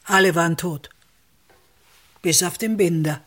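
An actor playing a taciturn, deferential village innkeeper in a radio play, matter-of-fact. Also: male voice, fairly high pitch, fairly bright tone. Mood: subdued, matter-of-fact. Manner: laconic, slow, matter-of-fact.

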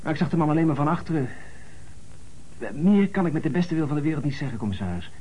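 Sporty local witness, husky voice, informal, hesitant, obliging, radio drama. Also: male voice, fairly high pitch, animated, fast talker, darkish tone, rough recording. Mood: unsure, recalling. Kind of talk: Dutch radio play dialogue.

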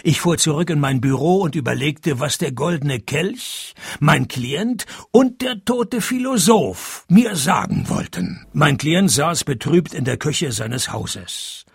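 A laconic Swiss private detective in a radio play. His voice is creaky and a little high, with wide swings in pitch under a deadpan, sardonic delivery. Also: slow pace, no room sound, clean studio sound, exaggerated voice. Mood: dry narration. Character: wry, cheeky, laconic male private detective. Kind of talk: radio play narration and dialogue.

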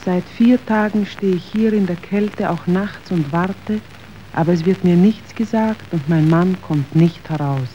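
An actress playing an anguished, stubborn working-class wife in a radio drama, speaking emphatically and accusingly. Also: female voice, lowish voice, a little slow, mellow, natural voice. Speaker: actor. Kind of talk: German radio drama acting. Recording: dull, noisy old radio recording.

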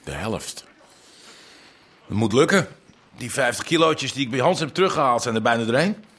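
A man's voice: mid-range voice, big pitch swings, normal speed, a bit bright. An actor playing a distrustful, grumbling gangster's henchman in a Dutch radio drama, coarse in manner. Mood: sceptical, grumbling.

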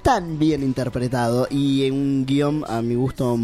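A man's voice: medium pitch, steady tone, measured pace, warm tone, soft background music. A middle-aged man co-hosting a radio show, chatty and playful, laughing often. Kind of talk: casual radio chat.

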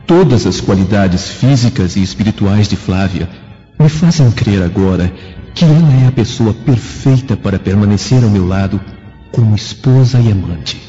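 An actor playing a brooding, obsessive suitor of ancient Rome in a radio drama, declaiming earnestly and solemnly with passion. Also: male voice, on the low side, warm tone. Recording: dull radio drama with long reverb, music.

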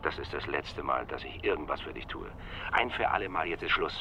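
A man's voice: extremely low pitch, lively intonation, a little fast, bright, forceful tone, heavily acted. A man playing a stern, exasperated lawyer in a German radio drama, speaking emphatically over the telephone. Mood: weary contempt. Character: irritated, exasperated male lawyer, stern and contemptuous. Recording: dull band-limited phone sound, noisy.

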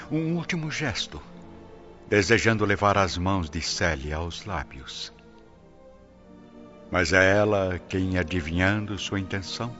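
A man with a Brazilian accent giving solemn, measured, scripted narration for a radio drama. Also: low voice. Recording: dull lo-fi recording with music bed. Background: soft music.

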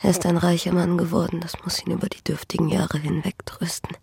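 A woman's voice: lowish voice, level tone, fairly quick, vocal fry, a little mellow, breathy edge, no room sound, studio quality, full character voice. An actress playing a dying young peasant woman in a radio play, sorrowful and bitter, with a weak, whispery voice and solemn delivery. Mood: bitter, resigned. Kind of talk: German radio play acting.

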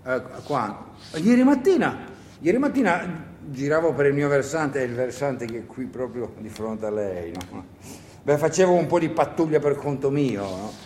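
A man's voice: medium pitch, animated, normal speed, a little mellow, lightly acted. A man playing an awkward, talkative partisan on stage, bashful and eager, hesitating and repeating himself in colloquial theatrical speech. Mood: boastful, bashful. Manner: hesitant, stammering, repetitive, colloquial interjections. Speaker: actor and director performing a solo play. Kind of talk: theatrical dialogue, radio drama monologue. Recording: echoey theatre hall with faint noise.

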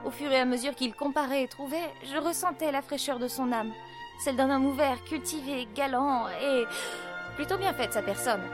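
A woman plays a young Parisian journalist of 1888 in an audio drama. Her voice is high, expressive and literate, curious and bold, with music playing underneath. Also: moderate pace, natural voice. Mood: dreamy, enamoured. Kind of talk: French audio drama acting with first-person narration.

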